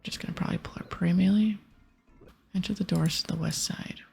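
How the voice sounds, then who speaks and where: A woman speaks in a very breathy, husky murmur with heavy creak, often slipping into a whisper. She gives casual, gentle ASMR game commentary and reads text aloud. Soft music plays behind her. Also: lowish voice, steady tone, brisk. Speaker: video game streamer. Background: music, keyboard clicks.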